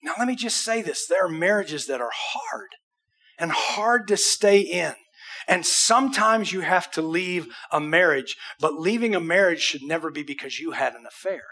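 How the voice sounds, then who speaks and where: High-voiced older American preacher man. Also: lively intonation, normal speed, pushed and bright, slightly rough. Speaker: pastor. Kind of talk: conversational sermon with acted examples. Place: church auditorium stage.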